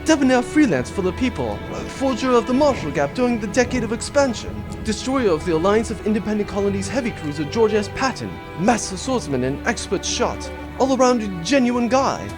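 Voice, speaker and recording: A melodramatic male starship adventurer, played by a man speaks in a highish, swooping voice. It is comedic audio drama, with clear music underneath. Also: big pitch swings, brisk, hardly any pauses. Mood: excited, melodramatic.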